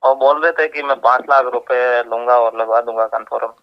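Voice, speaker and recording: A man's voice: medium pitch, fairly even intonation, normal speed. A man speaking deferentially and hesitantly as a caller on a phone conversation. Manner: deferential, hesitant, often says sir. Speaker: caller, job aspirant. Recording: dull lo-fi phone call recording.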